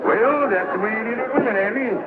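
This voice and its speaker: Scratchy, tinny recording of an excitable American schemer played by a man. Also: sits high, fast talker, lightly acted. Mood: wry, animated. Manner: folksy, ungrammatical, broad comic delivery. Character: excitable, scheming middle-aged man in a radio-era sitcom. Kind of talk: 1950s American sitcom acting. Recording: scratchy, tinny old TV soundtrack, heavy noise.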